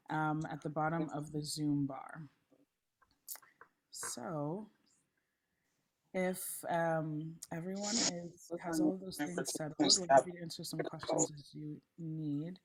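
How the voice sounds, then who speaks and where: A woman facilitating an online meeting, a little hoarse, friendly and chatty with frequent ums, speaking through a laptop mic on a video call. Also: sits low, darkish tone. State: slightly hoarse. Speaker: session facilitator. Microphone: laptop mic, then headphones.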